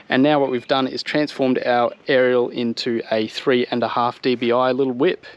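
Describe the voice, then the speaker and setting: A man in his thirties with an Australian accent, giving a casual, explanatory product review to his viewers outdoors. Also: mid-range voice. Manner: informal explanatory walk-through, addressing viewers. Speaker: vlogger reviewing vehicle gear. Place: outdoors on a home driveway by a vehicle.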